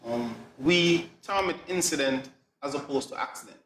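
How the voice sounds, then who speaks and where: A middle-aged man, explaining things as an interview guest in a measured, phrase-by-phrase way. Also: fairly high pitch, a bit bright. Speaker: air traffic controller, interview guest. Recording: echoey room, light background noise.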